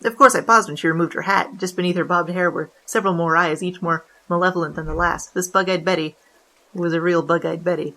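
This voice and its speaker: A woman playing a wry, deadpan bandit who narrates a confession in hardboiled 1920s noir style. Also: on the low side, brisk, low-quality recording. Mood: dramatic, deadpan.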